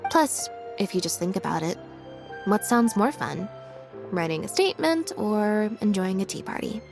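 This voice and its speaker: A woman voicing a calm, understated young woman in a video game, speaking in a measured deadpan. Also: medium pitch, vocal fry, over music. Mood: calm, relaxed.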